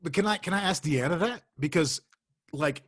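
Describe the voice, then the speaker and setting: A man talking as a guest in a conversation, heartfelt and animated, with exclamations. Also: fairly high pitch, normal speed, husky. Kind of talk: casual conversation.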